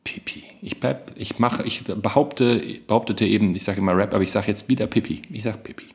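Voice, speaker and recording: A man's voice: on the low side, a little fast. A man in casual banter as a panelist on a podcast.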